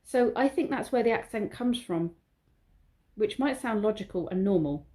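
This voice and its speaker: A woman's voice: medium pitch, animated, normal speed, mellow. A woman talking casually to camera in a vlog, chatty and prone to rambling. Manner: rambling, chatty, self-aware waffling. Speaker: vlogger.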